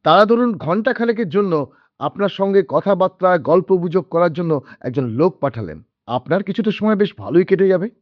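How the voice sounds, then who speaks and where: A man's voice: high voice, animated, moderate pace, no room sound. An actor playing a glib, self-assured middle-aged businessman in a radio drama, peppering his talk with English interjections. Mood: persuasive, lecturing. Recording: dull lo-fi audio with background music.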